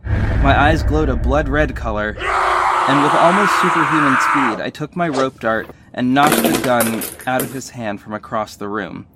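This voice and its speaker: Audio drama lead for a male actor: earnest young hero, emotional first-person narration, medium-pitched voice. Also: unhurried, heavy background noise, lightly acted. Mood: enraged.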